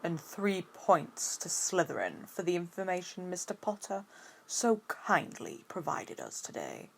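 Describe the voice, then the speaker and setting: A woman voicing a stern, sour male potions professor in a storybook reading, with slow, drawn-out, curt phrasing. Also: lowish voice, wildly swooping pitch, gravelly, breathy edge, full character voice. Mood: grudging.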